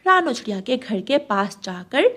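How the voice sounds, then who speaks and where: A woman reading scripted narration for a children's animated story. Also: on the high side, normal speed.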